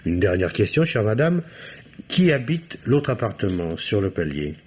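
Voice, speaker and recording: A man's voice: fairly low pitch, very animated, moderate pace, darkish tone, slightly rough. An actor playing a courteous, probing male police commissioner in a French radio mystery, questioning politely and patiently. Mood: intrigued. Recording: dull lo-fi radio recording.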